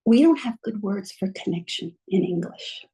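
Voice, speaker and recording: A woman giving a talk by video call, slowly guiding listeners through a calm, soothing reflection. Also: mid-range voice, slightly rough. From mother from Mexico, bilingual. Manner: gentle, slow, soothing guidance. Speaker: guest speaker.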